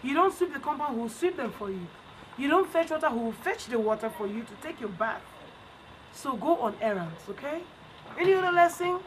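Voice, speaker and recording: Female storyteller, high register, instructive repetition for young listeners, noisy recording. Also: expressive, fairly quick. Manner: teacherly, repeats lessons emphatically. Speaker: storyteller leading children through a story's lesson. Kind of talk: bedtime storytelling and question-and-answer with children. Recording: clear background noise.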